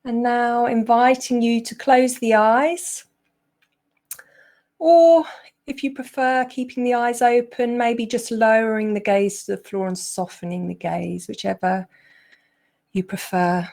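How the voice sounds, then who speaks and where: A woman guiding a meditation as a teacher, speaking gently and leaving long pauses. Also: fairly high pitch, steady tone. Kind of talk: guided meditation.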